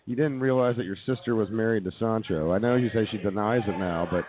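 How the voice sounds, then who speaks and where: A man's voice: lowish voice, fast, crowd noise. Wry male comedy radio co-host. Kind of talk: casual comic banter and news bits. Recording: dull muffled lo-fi audio.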